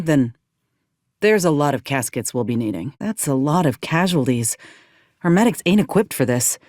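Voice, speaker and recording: A woman's voice: low-pitched, darkish tone, no room sound. A woman playing a wry, plainspoken former combat medic in a video game, loyal to her company, with dry, sardonic, folksy phrasing. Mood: grave, practical.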